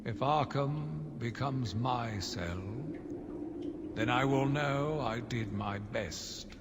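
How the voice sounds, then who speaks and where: An actor playing a zealous, deluded asylum warden who delivers a theatrical, deliberate diary monologue in a video game. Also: male voice, mid-range voice. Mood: grim defiance. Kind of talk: dramatic first-person game monologue. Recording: long reverb, clearly noisy ambient backdrop.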